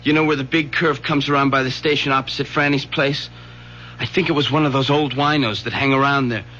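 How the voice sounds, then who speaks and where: A man playing a scared, guilty young son in a radio drama, agitated and halting. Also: mid-range voice, steady tone, pushed and bright, close to an everyday voice. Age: young adult. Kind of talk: 1970s radio drama acting. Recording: dull, slightly noisy old radio recording.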